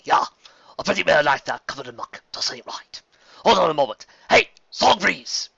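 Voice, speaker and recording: Man putting on a gruff, folksy old stallion barkeep. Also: big pitch swings, moderate pace, sharp tone, no room sound, muffled recording, full character voice. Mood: friendly, folksy. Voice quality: deep, brassy voice. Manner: folksy, hospitable. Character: corpulent old stallion bartender, gruff but welcoming.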